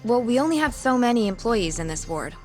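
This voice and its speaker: An actress playing a polite, precise female hospital staff worker who explains things in a calm, informative way, in a game scene with faint music behind. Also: on the high side, fairly quick. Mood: explaining. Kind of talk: game dub acting.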